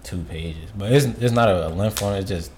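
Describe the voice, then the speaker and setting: A young man in college co-hosts a laid-back podcast. His voice is very deep, and his talk is easygoing and full of slang. He chuckles often, with faint noise behind him. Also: a little fast, darkish tone. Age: young adult. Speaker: podcast co-host, college student. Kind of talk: casual podcast chat.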